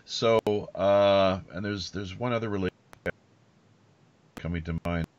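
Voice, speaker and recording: A man hosting a casual interview, talking in a halting, rambling and wordy way. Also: deep voice, no echo, muffled recording. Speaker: podcast host.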